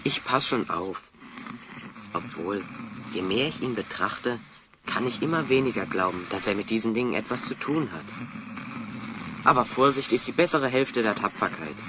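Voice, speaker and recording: An actor playing a level-headed young adventurer hero, articulate and courteous, in a children's audio drama. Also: male voice, lowish voice, animated, moderate pace, pauses often, background noise, lightly acted. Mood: resolute, cautious.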